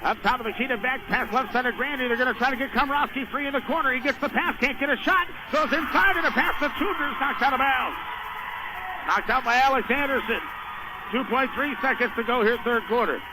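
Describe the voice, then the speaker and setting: A man doing live radio play-by-play of a basketball game in clipped phrases, rising to excited shouts on big plays. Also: extremely high pitch, a little fast. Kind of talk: live radio sports commentary. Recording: noisy radio broadcast from a gym.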